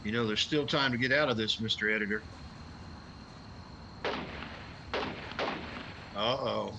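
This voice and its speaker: A man's voice: lowish voice, quick pace, creaky, sharp tone, slight background noise, poor audio. An actor with an American accent playing a prickly, cynical newspaperman in a radio drama, dry and sardonic. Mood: tense, wary. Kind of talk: 1950s radio drama acting.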